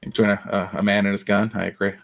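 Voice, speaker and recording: Low-voiced, teasing man. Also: level tone, fast talker, creaky. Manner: opinionated, teasing. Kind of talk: casual chat on a livestream.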